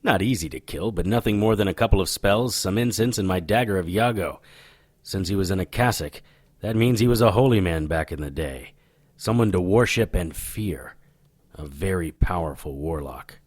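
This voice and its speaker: An actor playing a cocky, stubborn occult investigator in an audio drama, blunt and sardonic. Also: male voice, deep voice, normal speed, darkish tone, dry recording, studio quality. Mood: grave, knowing. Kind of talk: audio drama dialogue with first-person narration.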